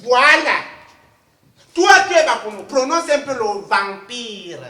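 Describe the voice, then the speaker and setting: A man acting out a bossy middle-aged auntie who runs a comic talk show, loud and full of herself. Her voice is bright and cutting, and it rings in an echoey room. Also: extremely high pitch, animated, takes it slowly, heavily acted. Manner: boisterous, bossy, laughs at own accent. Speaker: comedy sketch host. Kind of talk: comedic talk-show parody.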